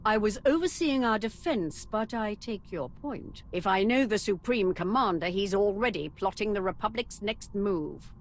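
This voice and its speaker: Woman as scheming empress, haughty, theatrical, very animated intonation, mid pitch, video game cutscene. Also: moderate pace, hardly any pauses, a little hiss or hum, lightly acted. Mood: confident. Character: imperious, scheming empress, shrewd and confident.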